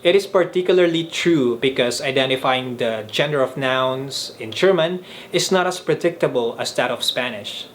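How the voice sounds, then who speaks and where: A young man from the Philippines, Filipino his first language, telling in a measured, earnest way how he learned languages on his own. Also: mid-range voice, fairly bright tone, a little hiss or hum. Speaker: language vlogger. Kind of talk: scripted vlog narration.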